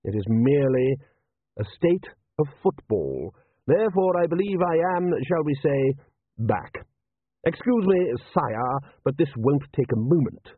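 A man voicing a calm, wily city ruler in an audiobook, measured and urbane, with drily polite understatement. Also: medium pitch, husky, no room sound, voice pushed up. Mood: smooth, courteous. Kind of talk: audiobook character voice. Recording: narrow-band, phone-like sound.

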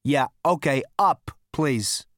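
A man's voice: a little high, slow pace, fairly bright tone, no echo, clean studio sound, voice pushed up. A man playing a brash space rogue in a storybook, impatient, sarcastic and given to exclaiming.

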